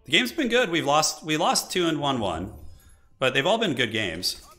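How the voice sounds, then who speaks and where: A man live-streaming a strategy game, chatting casually and enthusiastically with viewers in a slightly raspy voice from an irritated throat. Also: a little high, very animated, moderate pace, sharp tone, faint music behind. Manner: enthusiastic, informal, thinking aloud. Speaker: livestreamer, esports website organizer.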